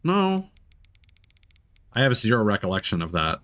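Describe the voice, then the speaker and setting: A man streaming a video game, talking through it in an expressive, playful way with casual swearing. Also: lowish voice, moderate pace. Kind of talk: gameplay stream commentary with dramatic reading aloud of in-game documents.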